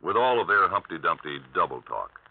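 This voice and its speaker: A man's voice: sits low, sing-song, a little fast, gravelly, slightly rough. A man delivering a formal, oratorical scripted address on vintage radio. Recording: vintage radio broadcast, muffled.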